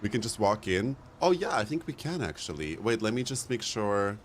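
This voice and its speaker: A man's voice: on the low side, lively intonation, moderate pace, a little hiss or hum. A man chatting casually and slangily as he streams a game. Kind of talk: casual livestream chat while gaming. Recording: loud background music. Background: music.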